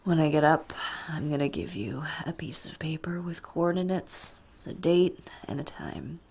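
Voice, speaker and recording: A woman playing a weary, withdrawn go-between in an audio drama, listless and deliberate, often slipping toward a breathy whisper. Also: low-pitched, lively intonation, darkish tone, heavily acted. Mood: weary, secretive. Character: weary, withdrawn woman arranging a covert deal. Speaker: voice actor.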